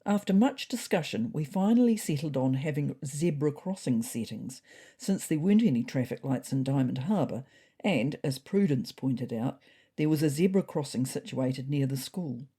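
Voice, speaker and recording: A woman playing a wry, observant cat narrator, telling a scripted comic diary story in a husky, expressive voice. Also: very deep voice, moderate pace, full character voice.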